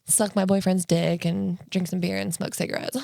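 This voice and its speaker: A woman's voice: medium pitch, normal speed, creaky, dark tone, dry recording, clean studio sound. A woman co-hosting a podcast in a casual comedic chat, blunt and teasing with crude humor. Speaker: podcast co-host.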